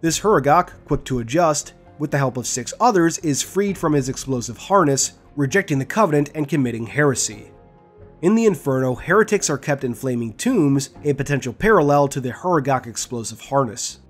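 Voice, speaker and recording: A man's voice: mid-range voice, lively intonation, brisk, faint music behind. A man presenting a video as its host, reading scripted explanatory narration. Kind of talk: scripted guide and lore narration.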